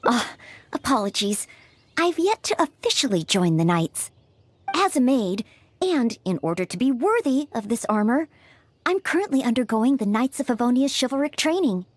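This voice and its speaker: Game role: young maid aspiring to knighthood; high, animated, gentle, formal, eager to please. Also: female voice, moderate pace, dry recording. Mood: polite, eager. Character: earnest, polite young maid training to be a knight. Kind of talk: video game voice acting.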